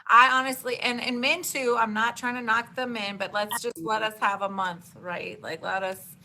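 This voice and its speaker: A woman in her forties, a podcast guest, talking in an animated, chatty way with lots of "like.". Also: a little high, moderate pace, poor audio. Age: 40s. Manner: animated, talkative, frequent "like". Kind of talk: casual interview chat.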